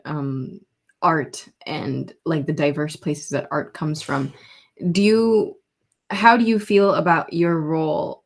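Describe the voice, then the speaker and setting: Low-pitched woman interviewer, conversational. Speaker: podcast host, interviewer.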